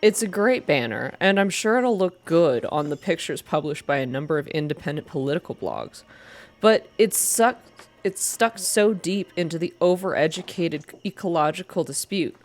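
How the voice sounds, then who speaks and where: A woman's voice: fairly low pitch, very animated, normal speed. A woman voicing a cynical young female activist with a dismissive manner in a story reading.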